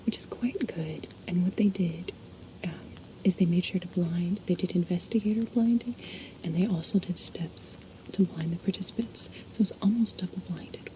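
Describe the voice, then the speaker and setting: Warm whispering woman, chatty ASMR host. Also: fairly low pitch, rough recording. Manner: soft unvoiced whisper, chatty and digressive.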